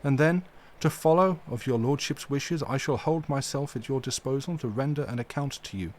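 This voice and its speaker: A grave elderly professor speaks in slow, formal, halting phrases, stern and tender at once, as a male reader puts him on. The voice sits higher and louder than the reader's own. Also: expressive, a little hiss or hum, lightly acted. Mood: solemn.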